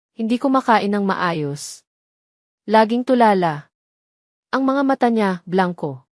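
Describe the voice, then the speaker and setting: A woman voicing a kindly, sympathetic elderly head nurse in an audio drama, soft-spoken and confiding. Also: mid-range voice, no room sound, studio quality, close to an everyday voice. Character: gentle elderly female head nurse, sympathetic, near retirement.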